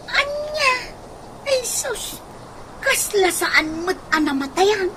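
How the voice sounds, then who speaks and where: A woman's voice: very high voice, very animated, slow pace, pushed and bright, heavily acted. A woman playing a gossipy, easily astonished young woman in a comedic radio drama, chatty and exclamatory. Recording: noisy radio drama recording.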